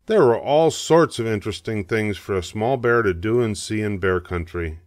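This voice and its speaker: An older man narrating a children's storybook, reading aloud in a measured, expressive way. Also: lowish voice, no echo, clean studio sound.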